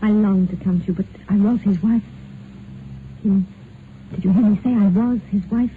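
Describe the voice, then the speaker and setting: An actress playing a genteel, unhappy wife in a 1950s radio drama, refined and earnest in manner. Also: female voice, mid-range voice, normal speed, phone-quality sound, lightly acted. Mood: anxious tenderness. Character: genteel unhappy wife eloping with her lover. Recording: thin, band-limited 1950s radio recording with noise.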